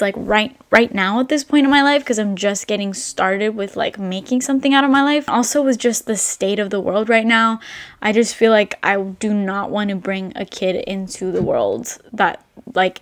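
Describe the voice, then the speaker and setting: A woman in her twenties, raised in Albania, chatting to camera in a relaxed Q&A and filling her talk with "like". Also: mid-range voice, moderate pace. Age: 20s. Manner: chatty, rambling, full of "like" fillers. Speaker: vlogger. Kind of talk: casual Q&A chat to camera. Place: indoor room with brick wall and shelves.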